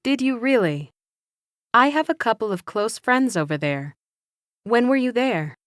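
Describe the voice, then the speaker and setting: A woman playing an encouraging but firm English teacher, speaking slowly and carefully in a scripted classroom dialogue. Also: on the high side, animated, no echo, studio quality. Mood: instructive. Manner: slow, careful, instructive delivery.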